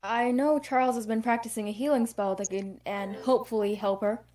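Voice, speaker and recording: Woman playing an excitable high-voiced teenage boy. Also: a little fast, faint music behind, close to an everyday voice. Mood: eager. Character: excitable, friendly teenage schoolboy. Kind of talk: amateur online table read.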